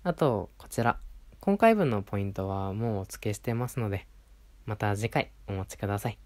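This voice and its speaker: A man playing a polite, lively-pitched male shop assistant. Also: normal speed, lightly acted. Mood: polite, businesslike. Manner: polite customer-service speech, attentive and courteous. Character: polite, attentive male clothing shop assistant serving a regular. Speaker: voice performer in a situation voice audio. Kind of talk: Japanese situation-voice roleplay addressed to the listener.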